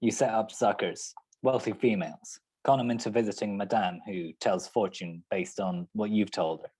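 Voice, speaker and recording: An actor playing a hard-boiled, wry, unflappable private detective in an old-time radio drama, talking in terse, sardonic lines. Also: male voice, on the low side, fairly even intonation, normal speed, a little mellow, breathy edge, low-quality recording. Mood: accusing, cool. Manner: sardonic, terse tough-guy talk.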